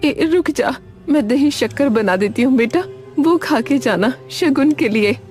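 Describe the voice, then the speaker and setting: A woman plays an elderly village mother in a cartoon tale, devoted and anxious. The delivery swings widely in pitch, hesitant and pleading, with music underneath. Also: expressive, a little slow, darkish tone. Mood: worried, pleading. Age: older. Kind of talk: Hindi animated story dialogue.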